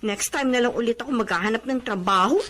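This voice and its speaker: High-pitched, sulky young woman played by an actress. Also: fast, fairly bright tone, natural voice. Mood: reluctant, proud. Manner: whiny, complaining. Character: sulky, proud young woman, jobless college graduate daughter. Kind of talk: radio drama acting.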